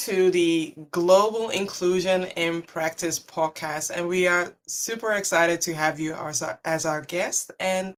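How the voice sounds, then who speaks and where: A co-host with a mid-pitched voice welcomes a guest and asks questions. The manner is friendly and upbeat. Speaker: podcast co-host. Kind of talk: interview questions.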